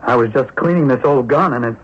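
A man's voice: medium pitch, quick pace, husky, natural voice. An actor playing an apologetic, nervous, eager male collector in a 1970s radio drama. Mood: flustered, apologetic. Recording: dull lo-fi radio broadcast recording.

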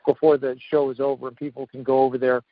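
A man hosting an interview, talking haltingly with many fillers and repeated words. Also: mid-range voice, flat delivery, dry recording. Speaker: host. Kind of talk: casual interview talk. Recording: dull lo-fi call audio.

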